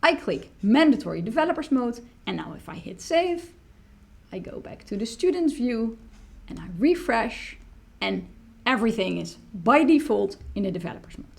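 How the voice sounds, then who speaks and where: A woman in her thirties, a native Dutch speaker, explaining a software tool step by step in a screen-recorded tutorial from a small room. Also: on the high side, very animated, normal speed, creaky, breathy edge. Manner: explanatory, walks through clicks step by step. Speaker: teacher tool demonstrator.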